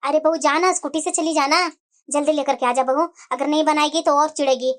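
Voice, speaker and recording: A woman voicing an older mother-in-law who speaks gently and soothingly to her daughter-in-law. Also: high-pitched, steady tone, brisk, voice pushed down, lightly acted. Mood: coaxing.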